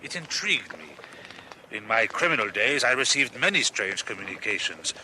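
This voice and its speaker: An actor playing a jovial, proud French former master criminal in a radio drama, with a French accent and an expansive, eager manner. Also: male voice, lowish voice, very animated, moderate pace, bright, forceful tone, slight background noise, heavily acted, poor audio. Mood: confiding.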